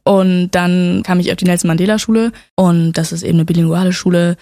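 A young woman in her twenties, an actress guest who tells her story in a relaxed, talkative way and laughs often. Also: fairly low pitch, brisk, no echo, clean studio sound. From Switzerland, raised in Berlin. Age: 20s. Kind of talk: casual interview chat.

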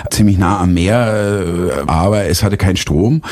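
A man's voice: deep voice, normal speed. A man in his forties, an actor and cabaret artist, telling stories as an interview guest with frequent laughs and fillers. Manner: animated storyteller, laughs often, many fillers. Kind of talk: casual interview talk.

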